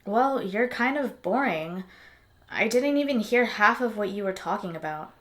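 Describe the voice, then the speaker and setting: A woman's voice: mid-range voice, lively intonation, moderate pace, a bit bright, lightly acted. A woman playing a wary, sarcastic young adventurer in an audio drama, blunt and given to complaint. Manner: dry, blunt.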